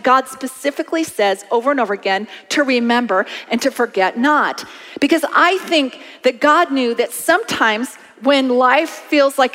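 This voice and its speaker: Woman pastor, late fifties, high voice, lively conversational preaching, reverberant sanctuary. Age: 50s. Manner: animated, conversational preaching with congregation call-outs. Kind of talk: sermon. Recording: long hall reverb.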